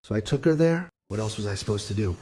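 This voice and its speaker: A man playing a separated father in a crime audio drama, explaining himself in halting, clipped, self-justifying phrases. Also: fairly low pitch, animated, warm tone, soft background music, lightly acted. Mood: defensive, uneasy. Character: separated father giving a defensive, halting account to police.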